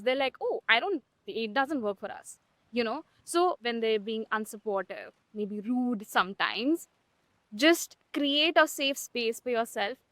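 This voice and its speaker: A woman's voice: a little high, a bit bright, no room sound, poor audio. A young adult woman hosting a candid podcast conversation, emphatic and blunt, swearing for emphasis. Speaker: podcast host.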